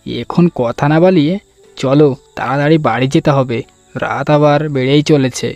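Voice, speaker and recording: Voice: medium pitch, moderate pace, darkish tone, no room sound, muffled recording, faint music behind, natural voice. An actor voicing a kindly, cautious village man in a cartoon, with a concerned, hesitant manner. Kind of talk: Bengali children's cartoon voice acting.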